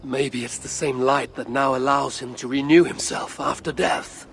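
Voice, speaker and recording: A man playing a grave, brooding older Norse god in a video game cutscene, speaking in a measured, sometimes hushed way. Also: mid-range voice, steady tone, slight background noise, natural voice. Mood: grave, reflective.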